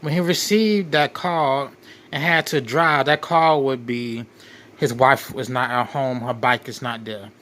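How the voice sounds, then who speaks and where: A man hosting a crime commentary, reading out case details in a halting way and often repeating his phrases. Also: medium pitch, fairly even intonation, a bit bright. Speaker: show host.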